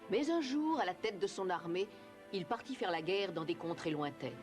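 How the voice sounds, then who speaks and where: Children's film narrator, scripted storytelling, medium pitch, clear music bed. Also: lively intonation, normal speed.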